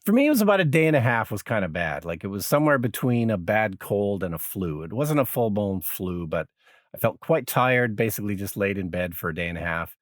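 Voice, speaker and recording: A man co-hosts a podcast in an easy conversational style, his pitch moving around a lot. He sounds a little congested and tired, getting over an illness. The recording is dry, with no room sound. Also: big pitch swings, a little fast, no echo. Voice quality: slightly congested. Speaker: podcast co-host. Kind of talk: casual chat with some scripted show intro.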